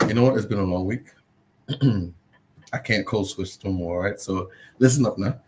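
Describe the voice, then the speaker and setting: A man with a somewhat low voice, co-hosting a podcast. He talks through the news in a relaxed, joking way, with plenty of fillers. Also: moderate pace, rough recording. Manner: laid-back, joking, with fillers. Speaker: podcast co-host presenting news. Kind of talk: casual chat.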